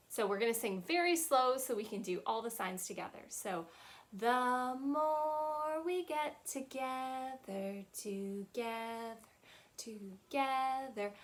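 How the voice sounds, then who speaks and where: A woman in her thirties leading a children's storytime in a small room, talking in a sing-song, teacherly way and singing simple songs with hand gestures. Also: on the high side. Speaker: children's librarian. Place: small playroom with shelves, indoors.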